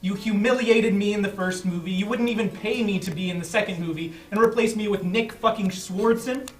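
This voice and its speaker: A man's voice: sits high, fairly quick, natural voice. A man acting an aggrieved, sweary comic actor full of hurt and bitterness at a comedy table read. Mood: bitter, hurt. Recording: echoey room, distant mic.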